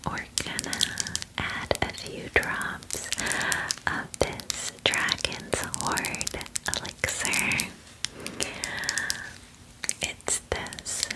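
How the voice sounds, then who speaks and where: A woman plays a pampering female dragon who runs a fantasy spa. She whispers throughout at a very slow, unhurried pace. Her manner is soothing and reassuring, like a chatty best friend in an ASMR roleplay. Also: rough recording. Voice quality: breathy whisper.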